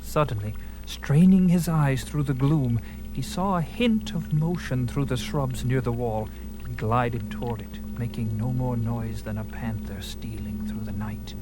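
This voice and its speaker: A man's voice: mid-range voice, unhurried, rough recording. A man giving theatrical, suspenseful narration of a dramatized story. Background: music.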